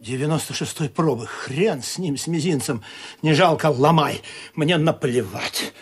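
A man's voice: a little high, a little slow, slightly rough. An actor playing an ardent, ironic adventurer who tells his comic tale in a theatrical, confiding radio style. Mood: sardonic. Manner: theatrical, confiding, lingers on words. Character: adventurer narrator, ardent, histrionic, ironic romantic hero. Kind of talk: dramatised comic storytelling for radio. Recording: studio radio play with music.

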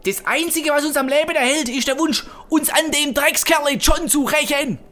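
Woman acting high-voiced, solemn office warrior woman. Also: normal speed, fairly bright tone. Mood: bitter, grave. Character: grumpy, warlike female leader of office accounting tribe. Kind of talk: comedic audio drama acting.

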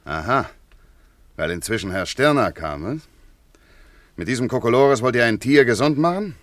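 A man acting a brusque village doctor in a German radio play, gruff, matter-of-fact and easily exasperated. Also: mid-range voice, lively intonation, moderate pace, dry recording, clean studio sound, close to an everyday voice. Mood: indignant. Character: brusque village doctor making farm calls.

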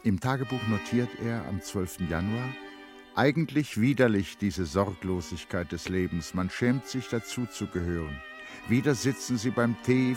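A man narrating a literary radio play from a script in a measured, solemn manner. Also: mid-range voice.

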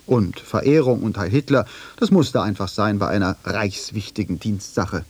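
A man's voice: fairly low pitch, expressive, normal speed, a little mellow, slightly rough. A man narrating a scripted radio documentary in dialogue form.

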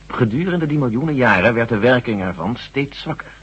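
An actor playing a determined army general in a radio drama, assertive and reasonable, probing with questions. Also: male voice, mid-range voice, expressive, brisk, breathy edge. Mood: speculating. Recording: dull lo-fi old radio recording.

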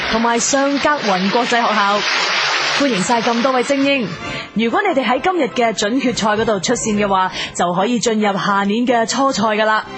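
Woman playing a brisk, high-voiced quiz show hostess. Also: lightly acted. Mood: formal, welcoming. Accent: Hong Kong Cantonese. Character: brisk, absurdly biased female school quiz show host. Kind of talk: comedy radio sketch as game show hosting. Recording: music behind the voice.

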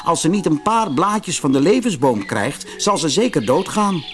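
A man's voice: on the high side, expressive, moderate pace, a bit bright, faint music behind, voice pushed up. A man voicing a polite, earnest little boy in a storybook fairy tale. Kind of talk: storybook character voice.

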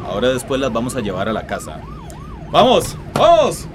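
A man's voice: mid-range voice, wildly swooping pitch, normal speed. A man playing a brusque, authoritative police officer in a radio drama. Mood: urgent, commanding. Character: gruff, businesslike male police officer. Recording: very noisy, sirens and vehicles behind.

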